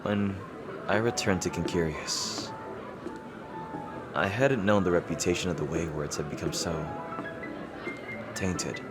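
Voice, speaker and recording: Low, slow-spoken guarded young-hearted rival king, acted by a man. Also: loud music behind the voice. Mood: earnest, subdued. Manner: hesitant, halting. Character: rival fantasy king, male, guarded, flustered, gently teasing. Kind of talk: roleplay audio drama, intimate ASMR acting.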